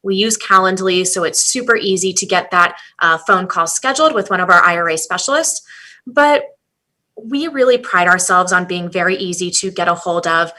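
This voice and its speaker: Woman, webinar host, upbeat, brisk, clear bright voice, video call. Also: on the low side, low-quality recording. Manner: cheerful, brisk, friendly. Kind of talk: upbeat scripted webinar intro.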